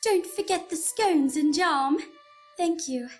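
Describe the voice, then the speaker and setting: A high, genteel voice from an actress as a polite country woman in a period radio play. She is wide-eyed and given to exclaiming. Soft music runs behind her. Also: takes it slowly. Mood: gracious. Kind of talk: nostalgic radio play acting.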